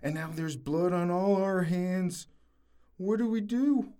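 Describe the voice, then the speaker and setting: A woman's voice: on the low side, takes it slowly, dark tone. A woman playing an antsy, scolding small-town husband in a comic audio drama, fussy and folksy with a Southern US accent. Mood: nervous, excited.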